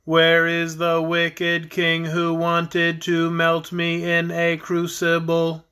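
A man voicing a polite clockwork man in a storybook, speaking in a stiff, halting, machine-like manner. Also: a little high, monotone, pushed and bright, clean tone. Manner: slow, halting machine-like delivery. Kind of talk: storybook character voice.

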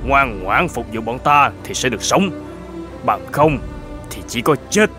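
An actor playing a threatening, greedy bandit in an animated folk tale, giving orders in a commanding manner. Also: male voice, medium pitch, a little slow, over music, natural voice. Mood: menacing. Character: menacing, domineering male bandit, greedy and hungry.

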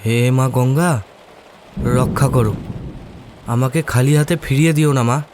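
A man's voice: mid-range voice, a little slow, background noise, close to an everyday voice. An actor voicing a poor, worried but determined village fisherman in a cartoon, with an earnest, grave manner. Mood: praying. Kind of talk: cartoon voice acting.

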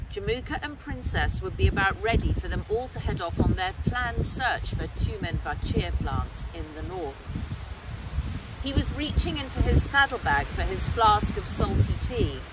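Middle-aged female storybook narrator, unhurried, recorded outside at a distance with clear ambient noise. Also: medium pitch. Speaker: author reading own novel aloud. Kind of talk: expressive scripted storybook narration. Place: outdoors in woodland. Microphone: camera a short distance away. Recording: outdoors, distant mic, clear background noise.

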